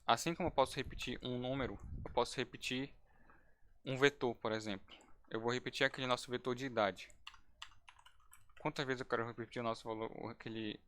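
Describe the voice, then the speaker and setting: Brief: male instructor, relaxed and chatty, restarts phrases while demonstrating code, typing heard behind. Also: mid-range voice, slight background noise. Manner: casual, thinking aloud with restarts. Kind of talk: live-streamed coding lesson.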